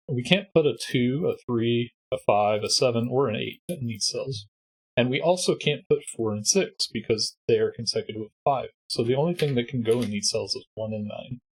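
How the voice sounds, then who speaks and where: A man with a congested, husky voice, calmly walking through a sudoku puzzle step by step as host. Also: medium pitch, rough recording. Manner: patient step-by-step explaining. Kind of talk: sudoku walkthrough.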